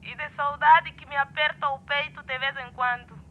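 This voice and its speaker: A woman's voice: sits high, fairly even intonation, normal speed. A woman playing a middle-aged Mozambican mother in a radio drama, fussing affectionately over her grown son through a telephone filter. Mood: tender. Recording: muffled phone call with faint noise.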